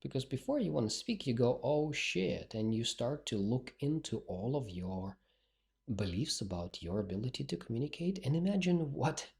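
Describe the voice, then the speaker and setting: A man's voice: medium pitch, very animated, moderate pace, creaky, a little mellow. A male speaking coach, not a native English speaker, talking bluntly and directly in a solo podcast monologue.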